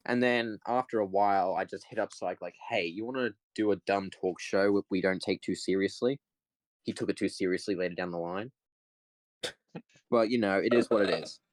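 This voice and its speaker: Teen guy podcast host, lowish voice, dry close sound, deadpan joking around. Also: normal speed, no echo. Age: late teens. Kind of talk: casual comedic banter.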